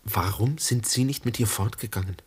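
A man's voice: lowish voice, normal speed, husky. An actor playing a grave young man in a radio drama, formal and probing in his speech. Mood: grave, reproachful. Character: grave young man questioning his mother's former lover. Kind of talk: 1960s German radio play acting.

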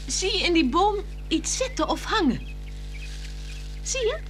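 An actress playing a playful, flirtatious married woman in a radio play, teasing and coaxing, moving between hushed words and exclamations. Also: very high voice, big pitch swings, unhurried, heavily acted. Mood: playful, excited. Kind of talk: 1960s Dutch radio play acting. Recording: 1960s radio drama with music.

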